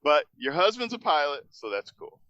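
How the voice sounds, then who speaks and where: Male interview host, chatty, laughs a lot, forceful bright tone, dry dull sound. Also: on the high side, big pitch swings, moderate pace, no room sound. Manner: chatty, laughs often, restarts questions. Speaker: podcast host. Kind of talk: on-location interview. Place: aircraft manufacturing facility.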